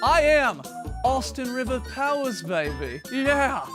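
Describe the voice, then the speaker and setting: High-pitched playful male show host. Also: sing-song, takes it slowly, over music. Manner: playful emcee, hamming up intros. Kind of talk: studio sports talk show.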